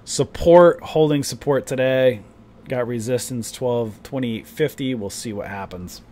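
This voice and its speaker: A man's voice: mid-range voice, a little slow, darkish tone, a little hiss or hum. Chatty male trading streamer, voice a bit off. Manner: rambling, chatty, many fillers like "you know" and "right". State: voice a bit off, coughing and clearing throat. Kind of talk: casual market commentary to viewers.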